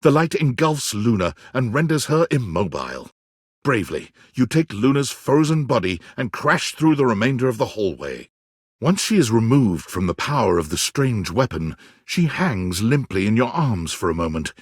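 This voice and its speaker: A dramatic storyteller for a sci-fi video game. His mid-pitched voice is husky and rises and falls expressively. The scripted narration is recorded close and dry. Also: no echo. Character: male second-person narrator of a sci-fi game adventure.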